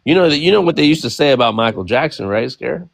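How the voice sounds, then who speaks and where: A man in his late thirties with a gravelly, fry-laden voice, chatting in a relaxed, profane way. He keeps checking in with his co-host as he talks. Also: medium pitch, fast talker, no echo. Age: 30s. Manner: laid-back, frank and profane, peppered with "you feel me". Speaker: podcast co-host. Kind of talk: casual chat.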